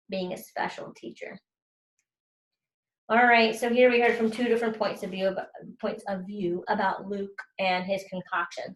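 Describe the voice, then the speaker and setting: A woman teacher doing a children's read-aloud, explaining things simply and speaking directly to her students. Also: medium pitch. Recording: roomy, echoey sound.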